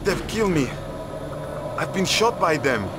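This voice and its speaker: A man playing a cowardly, excitable, whining guide in a video game, speaking broken English with an Italian accent. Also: fairly high pitch, unhurried, noisy background. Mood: whining, panicked. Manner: dramatic, broken English, third-person self-reference. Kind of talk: video game character acting.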